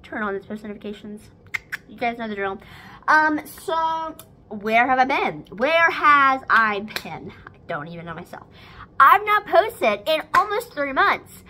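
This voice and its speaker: A woman's voice: fairly high pitch, sharp tone, slight background noise. A teenage girl vlogging casually from her living room, rambling with lots of fillers into a phone camera. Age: teens. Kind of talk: casual vlog chat. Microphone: phone camera at arm's length.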